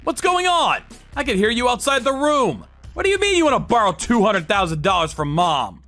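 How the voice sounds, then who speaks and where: A man's voice: high-pitched, sing-song, normal speed, sharp tone, dry recording, soft background music, voice pushed up, exaggerated voice. A man playing an indignant, blunt older brother, a protective factory worker, in a dramatized story. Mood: shocked. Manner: blunt, scolding.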